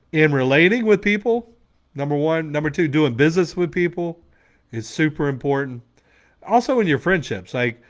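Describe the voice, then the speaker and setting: A man's voice: on the high side, fairly bright tone. A man in his forties giving a blunt, emphatic motivational talk as a men's coach, swearing freely to a room. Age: 40s.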